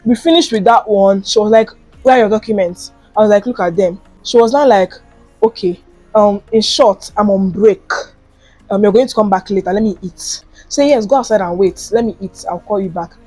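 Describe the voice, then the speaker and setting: A young Nigerian woman tells a dramatic story straight to camera while doing her makeup. She talks casually and acts out what other people said. Her voice sits at a middle pitch in a dry room, with faint music behind her. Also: normal speed, mellow, no room sound. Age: young adult. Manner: chatty and dramatic, acting out quoted lines. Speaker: vlogger.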